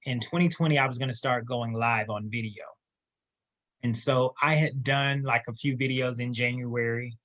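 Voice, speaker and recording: Lively man on a dull remote line. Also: mid-range voice, normal speed, dry recording. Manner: lively, emphatic storyteller. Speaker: guest entrepreneur. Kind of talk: casual interview storytelling.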